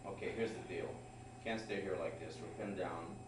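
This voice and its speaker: A man playing a tough, protective young mercenary at an informal table read, reading casually and a little haltingly. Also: low voice, close to an everyday voice. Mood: worried. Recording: echoey room, distant mic, noisy background.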